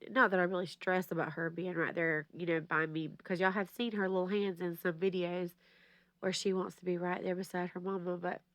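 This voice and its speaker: A woman's voice: on the low side, no echo. Lady rambling away at her desk, kinda stuffy nasal voice, dry close sound. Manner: chatty, rambling, asks viewers questions. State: slightly stuffy, nasal from allergies. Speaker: planner vlogger. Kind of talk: casual rambling chat to camera.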